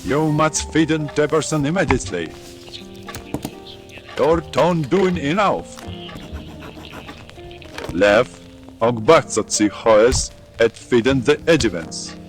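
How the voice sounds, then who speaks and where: A man's voice: mid-range voice, expressive, takes it slowly, pauses often, soft background music. A man playing a commanding, villainous town mayor giving urgent orders in video game tape dialogue.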